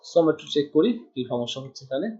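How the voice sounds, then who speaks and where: A man's voice: a little high, animated, racing, warm tone. A man from Bangladesh teaching a coding tutorial, thinking aloud as he types and mixing in English terms. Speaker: programming tutor. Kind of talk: screen-recorded coding tutorial. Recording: dull lo-fi sound.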